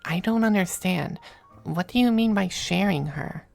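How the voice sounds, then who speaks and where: A woman playing a possessive, doting, androgynous male demon lover in an intimate ASMR roleplay, speaking softly and often slipping into whispers. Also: fairly low pitch. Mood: possessive, firm. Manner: soft, breathy, unhurried, often dropping to whispers. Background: faint music.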